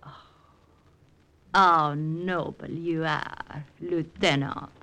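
An actress playing an alluring, teasing Frenchwoman with a French accent and a measured, gently mocking way of talking, in 1940s-50s radio drama style. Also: low-pitched, fairly even intonation, music in the background, full character voice. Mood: coy, teasing.

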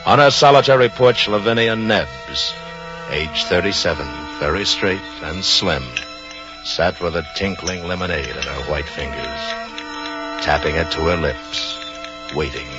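An actor playing the grave, measured narrator of an old radio suspense drama, telling the tale dramatically. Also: male voice, sits low. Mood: grave, suspenseful. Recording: dull old radio recording, music behind.

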